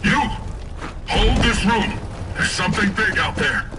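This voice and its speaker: A man playing a gruff, commanding soldier under fire in a video game cutscene, speaking with urgency. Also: sits high, expressive, unhurried. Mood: urgent. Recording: clearly noisy battlefield ambience.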